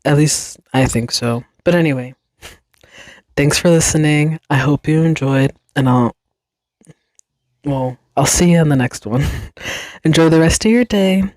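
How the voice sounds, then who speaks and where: A woman's voice: deep voice, measured pace, pauses often, darkish tone, no echo, clean studio sound. A young woman hosting her own podcast, talking alone in a casual, reflective, rambling way with plenty of fillers. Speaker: podcast host. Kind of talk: casual solo podcast monologue.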